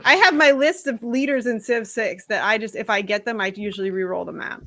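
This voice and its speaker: A woman talking as a guest in a casual stream chat, frank and animated, with casual swearing. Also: on the high side, brisk, dry recording.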